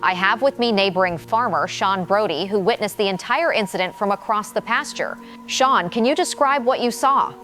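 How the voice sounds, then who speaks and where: A woman's voice: medium pitch, normal speed, fairly bright tone, soft background music, close to an everyday voice. A woman playing a serious field correspondent delivering a formal, scripted live news report in an audio drama. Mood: grave, reporting. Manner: formal, grave.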